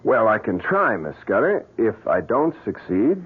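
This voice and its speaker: Smooth-talking greedy guy in old radio play, animated, husky, lo-fi muffled sound. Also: lowish voice, unhurried, exaggerated voice. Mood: probing. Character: slick, money-hungry man running a crime-prevention agency. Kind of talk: radio drama acting.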